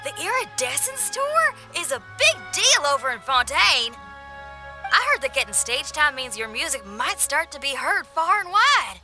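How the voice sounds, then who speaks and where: A woman playing a spirited, tenacious young female rock musician in a video game, with a folksy, expressive way of talking. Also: high voice, moderate pace. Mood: puzzled, chatty. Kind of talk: video game cutscene voice acting. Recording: faint music behind.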